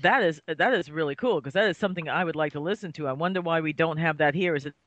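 Bright, higher-pitched man from New York. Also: fast talker, no echo. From New York, United States. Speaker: panel participant. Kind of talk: casual chat. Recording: dry but slightly dull, lo-fi.

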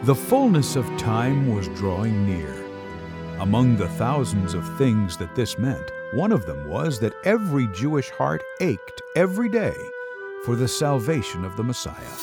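Expressive male story narrator over music. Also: mid-range voice, unhurried. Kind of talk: scripted dramatic narration of a Bible story. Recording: music under the voice.

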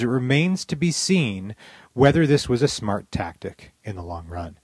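A man's voice: mid-range voice, a little mellow, no echo. A man hosting a podcast, delivering scripted opinion commentary in a wry, critical, emphatic way. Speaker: podcast host.

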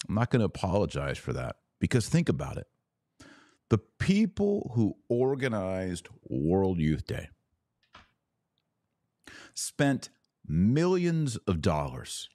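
Deep-voiced man, slow emphatic commentary. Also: darkish tone, no room sound, studio quality. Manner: emphatic, deliberate phrasing with pauses and stressed key words. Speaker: podcast host, religious commentator. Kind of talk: opinionated commentary monologue.

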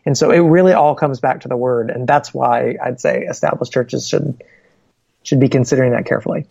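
A male interview guest speaks in an earnest, measured way and builds his explanations point by point. The recording sounds slightly dull and lo-fi, and the close, dry sound has no room echo. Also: medium pitch, fairly even intonation, creaky, a little mellow, slightly rough. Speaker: guest, Bible publishing ministry representative.

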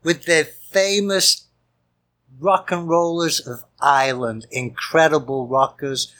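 A man's voice: medium pitch, bright, forceful tone. A man co-hosting a music show with casual, jovial and rambling banter. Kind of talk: casual chatty radio-style banter.

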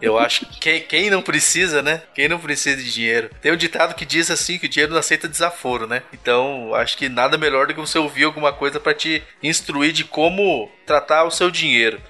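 Bright-voiced man giving advice. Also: a little high, fast, few pauses. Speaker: podcast co-host. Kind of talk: casual podcast chat.

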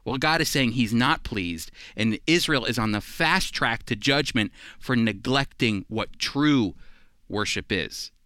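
Bright, forceful male Bible teacher. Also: medium pitch, expressive, measured pace, clear, resonant tone, dry recording, studio quality. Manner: earnest, emphatic, conversational preaching with stressed key words. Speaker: Bible teacher and radio show host. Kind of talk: sermon-like Bible teaching for a radio program.

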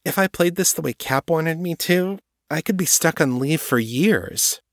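A man's voice: on the high side, expressive, measured pace, fairly bright tone, dry recording, studio quality, voice pushed up. A man voicing a blunt, frustrated young woman police detective who speaks glumly. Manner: blunt and glum.

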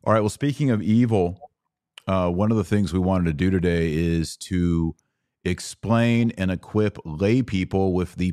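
A deep-voiced man hosts a podcast in a measured, steady way as he guides the talk. The recording is dry and close. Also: no echo. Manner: measured, steering the discussion. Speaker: podcast host.

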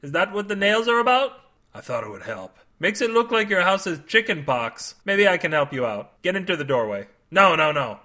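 A man's voice: high voice, sing-song, a little fast, bright, forceful tone, voice pushed up, full character voice. A man doing a chirpy, nosy neighbor. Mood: eager. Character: eager, nosy helpful male neighbor.

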